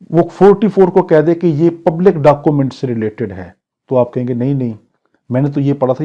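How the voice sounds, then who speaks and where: A man's voice: medium pitch, mellow. A man with a Pakistani accent giving an exam lecture as a law teacher, didactic and repetitive, switching between reading out English and explaining it. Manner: didactic, repetitive, poses questions and answers them. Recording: dull lo-fi sound.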